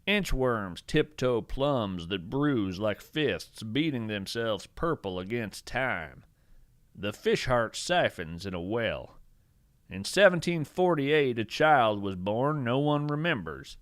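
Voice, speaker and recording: A man playing an eccentric, earnest naturalist who hosts a scripted fiction podcast, speaking in a measured, theatrical, thoughtful way. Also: mid-range voice, big pitch swings, no echo, studio quality. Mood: hushed, reverent. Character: eccentric male naturalist host, musing, earnest, whimsical. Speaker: podcast host and narrator. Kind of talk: scripted fiction podcast narration with poetry readings.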